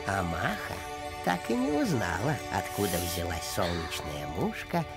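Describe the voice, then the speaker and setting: A man's voice: sits low, wildly swooping pitch, unhurried, loud music behind the voice, exaggerated voice. A man playing a kindly old grandfather who tells stories fondly in a cartoon. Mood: storytelling. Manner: fond, storytelling. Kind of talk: animated series storytelling.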